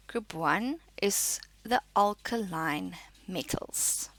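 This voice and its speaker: A woman's voice: on the low side, gravelly, fairly bright tone, dry recording. A woman teacher giving an instructional school lesson, reading notes and explaining exercises, posing questions and answering them. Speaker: science teacher.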